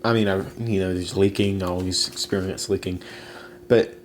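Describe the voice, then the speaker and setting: A man's voice: low voice, steady tone, moderate pace, slight background noise. A young man hosting a casual solo podcast, rambling with many fillers and restarts. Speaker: podcast host.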